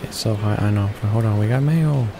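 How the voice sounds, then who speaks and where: A man reading visual novel text aloud for a gaming video, halting now and then with stumbles and repeated words. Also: on the low side, noisy background. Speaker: let's play host reading along. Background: game music.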